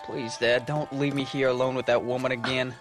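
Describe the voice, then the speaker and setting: An actor playing a sulky, wry young frontier son in a video game, with an American accent and a plaintive, sardonic delivery. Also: male voice, medium pitch, little pitch movement, a little fast, lightly acted. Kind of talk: video game cutscene acting. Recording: music under the voice.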